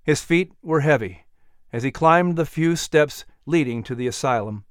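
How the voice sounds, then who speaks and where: A male audiobook narrator with a fairly high, smooth voice. He reads a dramatic historical story for children in a scripted style. The recording is very dry and close. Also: expressive, moderate pace, dry recording.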